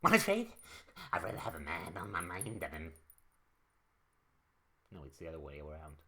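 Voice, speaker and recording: A man playing a muddled, argumentative character in an amateur audio story, creaky-voiced, hesitant and full of questions. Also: sits low, big pitch swings, moderate pace, a bit bright, slight background noise. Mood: flustered.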